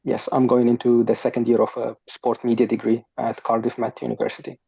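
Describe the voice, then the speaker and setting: A young man from Sudan speaks as a podcast guest, soft-spoken and a little hesitant. His voice is gravelly and dark in tone. It comes through a dull, lo-fi video call headset, dry and close. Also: mid-range voice, monotone, fairly quick, no room sound. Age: young adult. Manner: soft-spoken, thoughtful, hesitant with many fillers. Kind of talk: podcast interview answers. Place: home room, sitting on a sofa.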